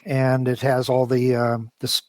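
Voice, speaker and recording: Man giving rambling, halting answers, dry sound. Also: mid-range voice, monotone, darkish tone, no echo. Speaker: interview guest, model train design engineer. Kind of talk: casual, detailed interview talk.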